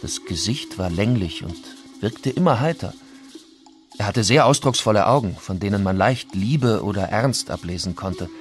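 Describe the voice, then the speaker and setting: A man voicing a Spanish soldier chronicler in a radio documentary, reading in a measured, descriptive way. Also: lowish voice, expressive, soft background music, lightly acted. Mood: admiring, measured. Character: male Spanish soldier chronicler recalling an admired ruler. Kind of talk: read historical quotation in a radio documentary.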